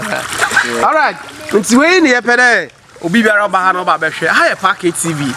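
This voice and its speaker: Ghanaian male vlogger, thirties, excitable, splashing water in the mix. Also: high voice, background noise. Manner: excitable, exclaiming, mixes local language with English. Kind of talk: excited outdoor vlog. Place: outdoors by a forest stream.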